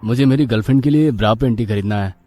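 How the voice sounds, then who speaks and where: A man playing an ordinary market-goer in an audio storybook, speaking plainly and in a measured way, with music behind. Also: mid-range voice, dark tone, slight background noise, lightly acted. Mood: hesitant. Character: ordinary male market customer or shopkeeper, plain-spoken. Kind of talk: acted dialogue in audio storybook.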